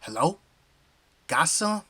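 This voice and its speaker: A man's voice: on the high side, big pitch swings, slow pace, fairly bright tone, slight background noise, heavily acted. Guy voice acting a friendly southern american dad, super folksy and welcoming, voice goes all over, audio play. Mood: jovial, welcoming. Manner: effusive, folksy, hospitable. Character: friendly, folksy middle-aged family man and father.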